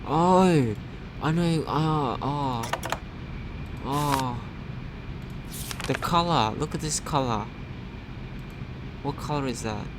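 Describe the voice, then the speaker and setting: Very loud noise surrounds a man casually commentating as he plays a game. He mutters to himself and answers back to the characters in a medium-pitched voice. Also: slow, long pauses. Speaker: gamer commentating on own play. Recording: loud constant background noise.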